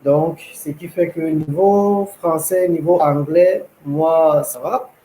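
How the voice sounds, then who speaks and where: A young man from Cameroon, a language school founder, telling his story in an interview, measured and hesitant with many fillers. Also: a little high, fairly even intonation, warm tone, slight background noise, low-quality recording. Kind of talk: interview, personal account.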